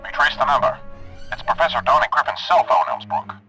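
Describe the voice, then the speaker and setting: A man's voice: medium pitch, very animated, a little fast, gravelly, over music, rough recording. A man playing an earnest police sergeant in a radio drama, businesslike and urgent.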